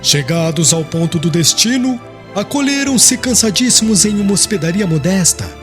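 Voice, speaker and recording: A man's voice: sits high, animated, moderate pace, music in the background. A man narrating an audiobook in a solemn, literary style.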